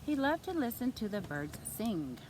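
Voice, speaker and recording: Woman reading a children's story, very animated. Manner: animated, deliberate teacherly phrasing. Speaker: storytime reader. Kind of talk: expressive children's story read-aloud. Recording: clear background noise, vehicle rumble. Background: background noise.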